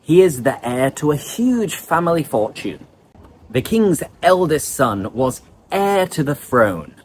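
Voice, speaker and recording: Lively man teaching English vocabulary. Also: a little high, slow pace, slight background noise. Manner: lively explanatory. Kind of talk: online vocabulary lesson.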